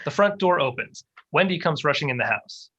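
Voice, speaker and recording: Bright, husky man reading stage directions. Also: a little high, lively intonation, fairly quick, highs cut off. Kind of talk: scripted narration at a table read.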